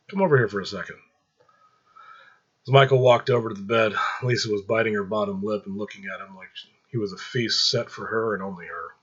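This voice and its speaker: A man's voice: on the low side, slightly rough, highs cut off. A man reading fiction aloud as a story narrator.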